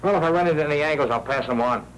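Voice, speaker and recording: An actor playing a glib, wisecracking American newsroom tipster in slangy 1940s film noir style. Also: male voice, a little high, racing, fairly bright tone, close to an everyday voice. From United States. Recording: noisy, crackly 1940s film soundtrack.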